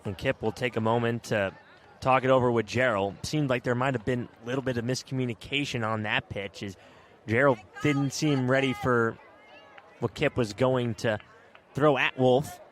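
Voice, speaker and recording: A man's voice: medium pitch, fairly even intonation, moderate pace, a bit bright. A man working as a color commentator, explaining plays in live baseball analysis with long, connected sentences.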